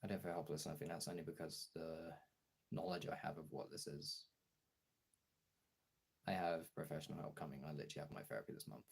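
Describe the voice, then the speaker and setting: A young man streamer talking casually and frankly in a gravelly, husky voice, halting at times and swearing freely. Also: very deep voice, fairly even intonation. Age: young adult. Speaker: streamer and voice actor chatting to followers. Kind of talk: casual candid chat.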